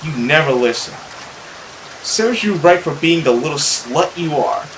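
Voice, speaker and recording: A man's voice: on the high side, expressive, measured pace, fairly bright tone, slight background noise, rough recording. A man voicing a cruel, possessive mother who scolds and coos at her daughter in a visual novel. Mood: scornful.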